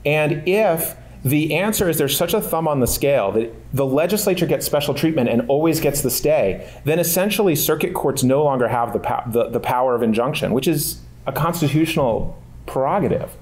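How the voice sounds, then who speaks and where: A man's voice: fairly high pitch, animated, a little fast, a little mellow. A man answering as a panelist in a legal discussion, speaking thoughtfully with frequent fillers and restarts.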